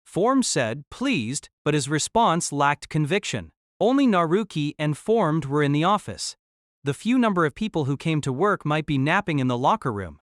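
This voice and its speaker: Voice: medium pitch, sing-song, normal speed, dry recording, studio quality. A narrator reading scripted third-person story narration for an audio drama.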